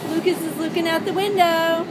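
A woman's voice: little pitch movement, brisk. A young woman filming toddlers on a train, coaxing them in a singsong voice. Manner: singsong coaxing talk to small children. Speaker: parent filming children. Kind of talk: casual home video chat with toddlers. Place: inside a moving commuter train. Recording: loud train rumble and crowd noise.

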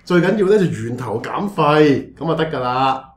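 A preachy, scheming pastor from a comic radio play, acted by a man, speaks Hong Kong Cantonese with theatrical mock-righteousness. His pitch leaps about in animated exclamations, and the room adds an audible echo. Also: normal speed, natural voice. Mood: scheming, insistent. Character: preachy, melodramatic, scheming male church pastor. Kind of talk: comedic radio drama acting.

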